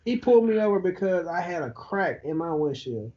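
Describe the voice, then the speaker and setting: A man, telling an animated story in a casual podcast chat. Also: brisk, lo-fi sound. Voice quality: high-pitched voice. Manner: animated storyteller who laughs easily.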